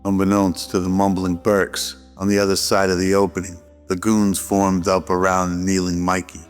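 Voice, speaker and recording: A man's voice: low voice, completely flat delivery, moderate pace. A man reading scripted narration for a radio drama. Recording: long reverb over faint music.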